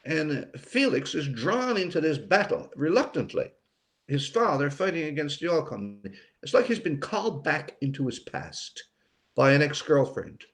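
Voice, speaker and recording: Higher-voiced older man, reflective author. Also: big pitch swings, moderate pace. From Newfoundland, Canada. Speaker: author, interview guest. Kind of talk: casual interview talk about writing.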